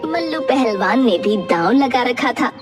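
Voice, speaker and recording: Lively, high-pitched woman storyteller. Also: measured pace. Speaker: story narrator. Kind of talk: scripted cartoon storytelling. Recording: distant voice with music underneath.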